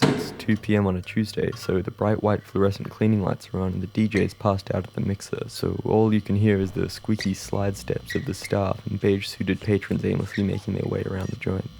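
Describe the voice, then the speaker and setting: A man with a low, dark, gravelly voice narrates a comic pitch. His delivery is deadpan and wordy, like theatrical storytelling, over faint noise.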